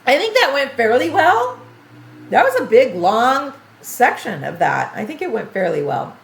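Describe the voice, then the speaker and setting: Gravelly-voiced grandma gamer, echoey room. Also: female voice, on the high side, big pitch swings, moderate pace. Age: older. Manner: chatty, thinking aloud while playing. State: voice going hoarse. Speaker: gaming streamer. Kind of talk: game tutorial livestream commentary.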